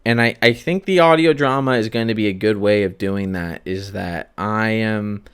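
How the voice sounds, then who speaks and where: A young man hosting a solo podcast, talking candidly and confessionally in a halting, self-reflective way that often doubles back on phrases. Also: on the low side, a bit bright, smooth. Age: young adult.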